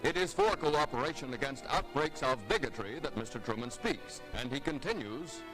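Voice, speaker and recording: A man's voice: medium pitch, lively intonation, moderate pace, low-quality recording. A man with an American accent reading formal, declamatory 1950s newsreel narration. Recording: vintage newsreel soundtrack with music behind. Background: music.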